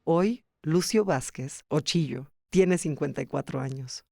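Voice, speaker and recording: Higher-pitched man narrating a documentary. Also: unhurried, vocal fry, a little mellow, slight background noise. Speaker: podcast narrator.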